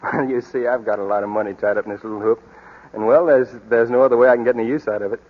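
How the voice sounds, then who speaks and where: An actor playing an earnest, brisk young doctor in love in a 1940s radio drama. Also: male voice, medium pitch, fairly even intonation, lightly acted. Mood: nervous, earnest. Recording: muffled, noisy 1940s radio broadcast.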